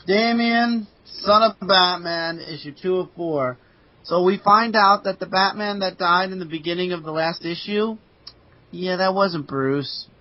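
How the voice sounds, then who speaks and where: A man's voice: sits high, a little slow. A man co-hosting a podcast, casually chatting and recapping comic books in a dull, lo-fi recording.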